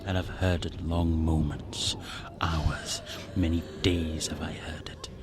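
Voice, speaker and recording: An actor playing a tormented, guilt-ridden gothic madman in a radio play, brooding and theatrical, with a creaky voice. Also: a bass voice, little pitch movement, slow pace, music in the background, full character voice. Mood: haunted dread. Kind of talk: gothic radio drama acting.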